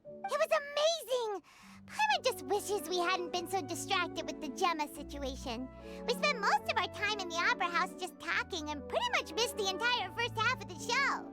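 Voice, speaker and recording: A woman voicing a tiny, excitable, loyal childlike fairy companion in a video game, with a chirpy way of talking. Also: extremely high pitch, moderate pace. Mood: eager, pleading. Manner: refers to self in third person, chirpy. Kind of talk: video game character dialogue. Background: loud game music.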